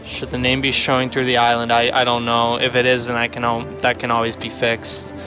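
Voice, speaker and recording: A man's voice: medium pitch, completely flat delivery, fairly quick. A man giving casual livestream commentary on a game, rambling and thinking aloud as he plays. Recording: music behind the voice. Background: game music.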